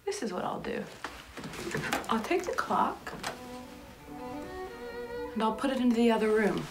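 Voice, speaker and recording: An actress playing an anxious young woman waiting for a call, pleading and swinging between hushed whispers and outbursts, over background music. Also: on the high side, big pitch swings, unhurried, creaky, heavily acted. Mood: anxious bargaining. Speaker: actress performing a monologue. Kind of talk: dramatic monologue.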